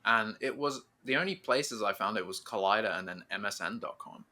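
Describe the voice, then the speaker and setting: A man's voice: fairly low pitch, fairly quick, bright, forceful tone. A man hosting a podcast, chatting casually and enthusiastically like a keen fan who swears freely. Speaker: podcast host.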